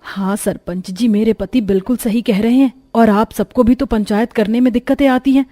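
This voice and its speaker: A woman's voice: on the high side, fairly even intonation, moderate pace, dark tone, lightly acted. A woman playing a motherly village wife in a Hindi cartoon story, talking in an explanatory way. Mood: complaining.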